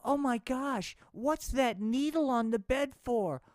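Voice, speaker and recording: A man voicing a prissy female doll in a high, fretful, near-tearful voice. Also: takes it slowly, no room sound, studio quality. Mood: alarmed.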